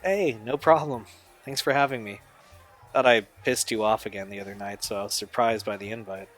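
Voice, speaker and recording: A man's voice: on the low side, brisk, fairly bright tone, soft background music, natural voice. A man playing an easygoing, supportive young friend in an audio drama, speaking casually. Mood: friendly, casual. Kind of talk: audio drama dialogue, naturalistic amateur acting.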